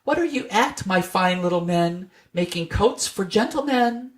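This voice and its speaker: A tiny, merry storybook mouse, acted out by an adult narrator, pitched far up into a small twittering voice. The delivery is singsong and cheeky, like nursery rhymes being chanted. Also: lively intonation, measured pace, lightly acted. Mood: gleeful, singsong. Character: chorus of tiny merry, cheeky little mice singing rhymes.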